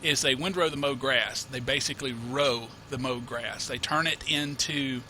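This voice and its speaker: A man with a mid-range voice talks through a farming game tutorial in a loose, rambling way, full of "uh"s and restarts. He has a cold and sniffles now and then. His home desk mic carries a steady hiss of background noise. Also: medium pitch, moderate pace. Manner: rambling, self-correcting, many uh fillers. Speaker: gameplay tutorial host. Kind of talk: casual let's-play commentary.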